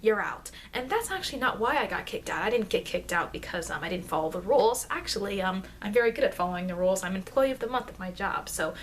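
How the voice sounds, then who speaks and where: A woman playing a chatty, informal immortal vampire teacher in an ASMR roleplay, rambling hesitantly and confidingly, with soft dips into whispering. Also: medium pitch, animated, fast talker, few pauses, close to an everyday voice. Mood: chatty, earnest. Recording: noisy amateur microphone.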